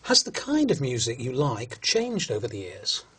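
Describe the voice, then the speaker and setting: A man reading out scripted questions as a language test examiner, formal and measured. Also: mid-range voice, wildly swooping pitch, a bit bright, slight background noise.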